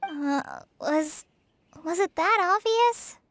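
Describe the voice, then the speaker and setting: A woman's voice: very high-pitched, lively intonation, slow pace, fairly bright tone, a little hiss or hum, exaggerated voice. A woman voicing an earnest, anxious young girl in a fantasy video game. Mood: hesitant, polite.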